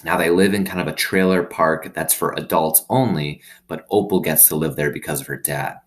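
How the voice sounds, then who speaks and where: A man reading a children's story aloud like a teacher, pausing to explain simply and check in with little questions. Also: a bass voice. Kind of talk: read-aloud with explanatory asides.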